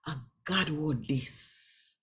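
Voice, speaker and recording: A woman with a Jamaican accent reads scripture aloud in Patois, with deliberate, emphatic phrasing. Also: deep voice, lively intonation, a bit bright, slight background noise. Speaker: scripture reader and host of a daily reading.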